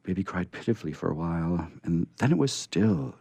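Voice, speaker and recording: A man reading a storybook aloud as narrator in a dramatic, expressive way. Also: low voice, a little fast, gravelly, husky, no room sound.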